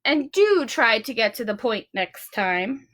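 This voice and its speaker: A woman's voice: fairly high pitch, very animated, unhurried, a bit bright, slight background noise, pitched below the performer's own voice. A woman voicing a blunt, dismissive old grandmother in a storybook, curt and drawling her refusal.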